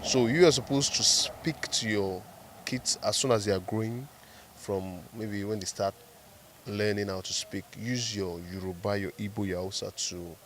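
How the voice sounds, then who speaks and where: A man's voice: fairly low pitch, moderate pace, slight background noise. Direct, persuasive man on the street. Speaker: entrepreneur. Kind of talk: street interview.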